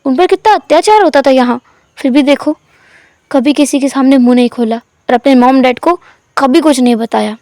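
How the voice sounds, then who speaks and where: A sympathetic male guard murmurs quietly in a lowered, soft voice, as a woman narrator puts him on. He talks in a hushed, gossiping way. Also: normal speed, no room sound, clean studio sound.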